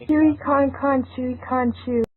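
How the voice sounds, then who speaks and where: A woman's voice: sits high, fairly even intonation, normal speed. A woman narrating a fan-fiction story in a group reading, mixing scripted reading with casual chat. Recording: tinny narrowband voice call, faint noise, clicks.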